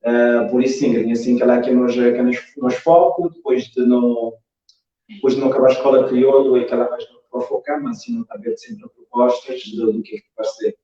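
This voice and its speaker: Echoey, distant Cape Verdean man, mellow. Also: medium pitch, big pitch swings, brisk. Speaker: interview guest, animation creator. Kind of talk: casual interview answers. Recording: very echoey, distant, dull sound.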